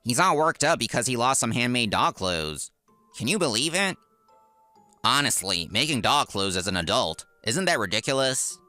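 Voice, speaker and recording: Man acting a cocky, sneering office bully, swooping pitch. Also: big pitch swings, moderate pace, pushed and bright, no echo, soft background music. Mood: mocking. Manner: sneering, taunting, stammers when cornered. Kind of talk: comic dub voice acting.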